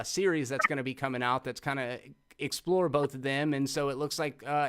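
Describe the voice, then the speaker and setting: Smooth, higher-voiced man chatting casually. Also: fairly bright tone. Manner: informal, sweary, emphatic. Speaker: podcast co-host. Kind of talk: casual film review chat.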